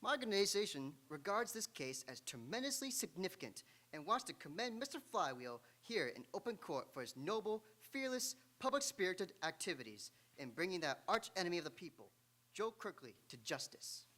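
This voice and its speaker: Guy doing earnest pompous crusader speech, high voice, very animated, old radio style. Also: a little fast, heavily acted. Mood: earnest, grandiose. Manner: pompous oratory. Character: earnest, pompous civic crusader addressing court. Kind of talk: live old-time radio comedy acting.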